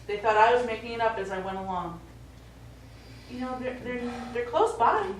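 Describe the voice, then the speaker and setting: Distant and echoey on a theatre stage, a woman plays a worried middle-aged daughter. Her voice is fairly high as she pleads with her old father. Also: moderate pace, lightly acted. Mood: pleading. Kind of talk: live stage play acting. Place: small indoor theatre stage. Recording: echoey theatre, distant mic, faint noise.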